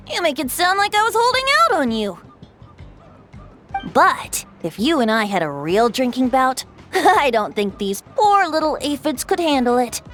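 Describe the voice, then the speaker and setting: A woman's voice: high voice, big pitch swings, a little slow, fairly bright tone, soft background music, full character voice. A woman playing a cheerful, teasing, boyish wandering bard in a video game, with a playful, theatrical delivery.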